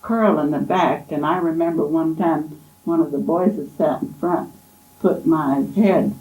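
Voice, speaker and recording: Woman in her 80s for an oral-history interview, gentle and fond, recorded in a roomy library. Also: low-pitched. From Kansas, USA. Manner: gentle, unhurried, fond storytelling with soft laughs. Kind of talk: reminiscing interview. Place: college library. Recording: echoey library room.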